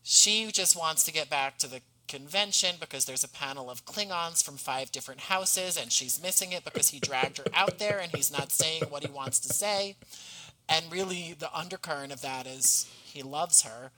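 A man speaking as an interview guest, explaining his creative work in measured, rather formal and articulate phrasing. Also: a little high, crowd noise, low-quality recording. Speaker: podcast guest, audio drama writer.

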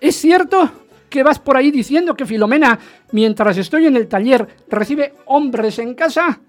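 A jealous husband tells his story in radio-theatre style with wide, animated swings of pitch. He is agitated and insistent, with faint music around the voice. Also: normal speed. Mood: agitated, demanding. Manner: dramatic, insistent, swinging from narration to heated questioning. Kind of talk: radio theatre narration and dialogue.

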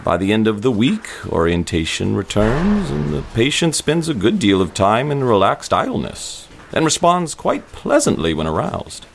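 A man's voice: medium pitch, huge pitch swings, creaky, a little hiss or hum. A man reading formal, scripted medical narration in a clinical manner. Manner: clinical, formal, measured wording. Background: music.

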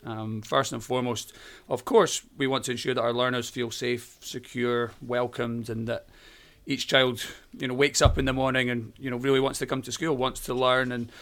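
A Scottish man in his thirties speaks in an easygoing, middle-pitched voice. He chats warmly as a guest, with frequent fillers and small restarts. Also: little pitch movement, normal speed. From Scotland. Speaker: primary school teacher. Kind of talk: conversational interview.

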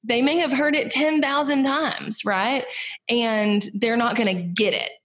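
A woman's voice: a little high, fairly even intonation, unhurried, fairly bright tone. A woman in her thirties with a Southern US accent, chatting as a guest in an interview, rambling with lots of "like" and "you know". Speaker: podcast guest, business owner. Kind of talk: casual conversational interview.

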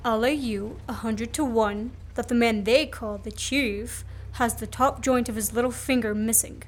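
A woman acting in a radio play as a quick-witted, sardonic man on the run, with clipped banter. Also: on the high side, fairly even intonation, natural voice. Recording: noisy student radio play recording.

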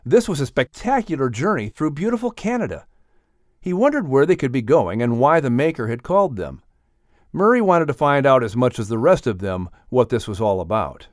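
A man reading a children's story as an audiobook narrator, in an expressive storytelling style. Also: mid-range voice, brisk, dry recording, clean studio sound.

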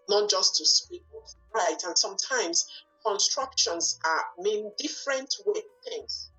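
A woman from Nigeria with a Nigerian accent, whose first language is not English, answering interview questions and often pausing and restarting to find her words. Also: lowish voice, fairly bright tone, breathy edge, poor audio. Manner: searching for words, frequent fillers and restarts. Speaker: guest, lawyer and business owner.

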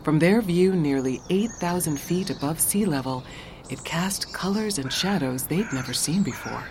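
Low-voiced woman narrator over noisy ambience. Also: expressive, normal speed, darkish tone. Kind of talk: scripted story narration.

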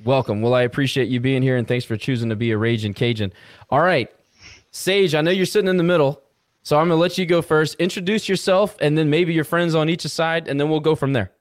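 Male podcast host, slightly high register, informal and enthusiastic, very dry recording. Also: steady tone, fast talker, no room sound. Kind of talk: casual podcast interviewing.

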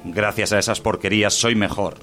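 An actor playing a vain, short-tempered working-class husband, defensive and domineering, in an audio drama. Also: male voice, lowish voice, fairly even intonation, a little fast, sharp tone, faint music behind. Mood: defensive, boastful.

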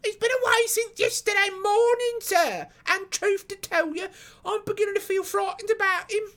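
A man's voice: very high-pitched, normal speed, sharp tone, pitched above the performer's own voice, exaggerated voice. A man voicing a stout, fretful working-class London wife who talks on and on in colloquial, deferential speech, in a story reading. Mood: worried, deferential.

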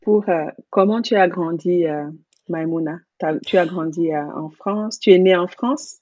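An interviewer asking gentle, probing questions in a conversational interview. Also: mid-range voice, a little slow, no room sound, dull audio.

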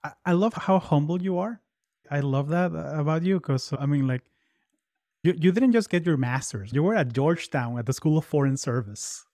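A male podcast host interviews a guest, enthusiastic and generous with praise. His voice sits a little high for a man. The recording is dry and close. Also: animated, moderate pace, mellow, no room sound. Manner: enthusiastic, complimentary. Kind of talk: conversational interview.

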